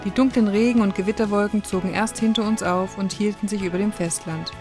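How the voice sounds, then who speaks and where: A woman's voice: medium pitch, steady tone, brisk, a little mellow. A woman reading a scripted travel narration for a vlog. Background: music.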